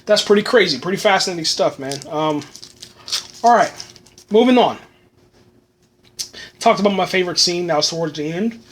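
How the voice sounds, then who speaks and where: High-voiced man rambling excitedly, going hoarse. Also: normal speed, long pauses, a little hiss or hum. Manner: rambling, enthusiastic, many fillers and "man". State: losing his voice. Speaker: podcast host reviewing a film. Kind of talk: solo podcast monologue, casual.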